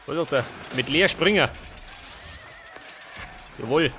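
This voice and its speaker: A man's voice: on the high side, animated, slow, bright, forceful tone. A man with a Bavarian accent giving casual let's play commentary, often muttering questions to himself. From Bavaria, Germany. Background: game music.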